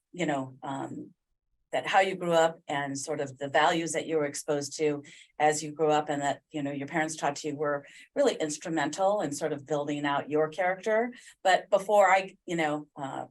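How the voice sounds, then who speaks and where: A woman hosting a podcast interview, talking in a loose, rambling way full of fillers. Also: deep voice. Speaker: podcast host. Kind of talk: conversational interview.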